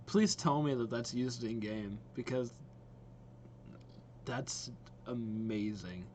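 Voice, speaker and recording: A young adult man hosting a reaction video with casual, expressive, informal commentary. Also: mid-range voice, slow pace, slight background noise.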